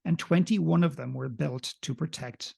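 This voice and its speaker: A man's voice: on the high side, a little slow, creaky, darkish tone, husky. A man in his forties with an Irish accent, speaking earnestly as a guest on a video call interview from a room at home with a desk mic. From Ireland. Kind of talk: reflective interview conversation. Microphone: desk condenser mic, video call.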